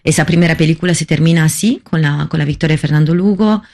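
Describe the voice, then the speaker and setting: Halting, creaky-voiced woman from Italy. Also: sits low, a little mellow. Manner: halting, searching for words, many repeated starts. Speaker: documentary filmmaker, interview guest. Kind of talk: conversational interview answers.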